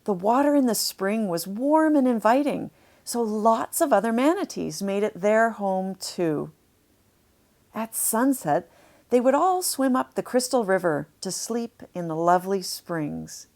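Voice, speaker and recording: A woman narrating a children's storybook, deliberately explaining things to young listeners as she reads. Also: medium pitch, lively intonation, a little mellow.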